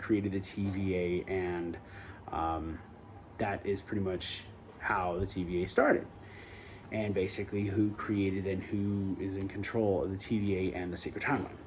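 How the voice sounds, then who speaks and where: A man's voice: lowish voice, warm tone, slight background noise. A man hosting his own review show, recapping an episode in a casual, rambling way that often corrects itself mid-sentence. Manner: rambling, loose, self-correcting delivery.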